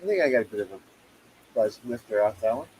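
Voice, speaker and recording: A man taking part in a casual tasting panel, talking in a relaxed, agreeable way. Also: fairly low pitch, quick pace, slight background noise, poor audio. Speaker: panel judge. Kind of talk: casual chat.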